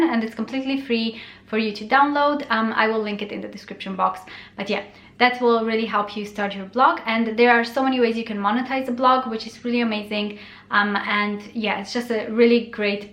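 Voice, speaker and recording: A woman's voice: on the high side, fairly bright tone, roomy sound. A young woman whose first language is Romanian, talking casually to camera as a blogger, chatty and friendly with many fillers. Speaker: blogger and YouTuber. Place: bright bedroom, indoors.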